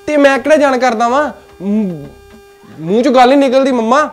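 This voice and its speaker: A man's voice: very high voice, animated, fairly quick. A man playing a young village son with a heavy stutter, who repeats first sounds, gets stuck mid-word, then bursts out. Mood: stuttering, pleading. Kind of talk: village comedy sketch acting.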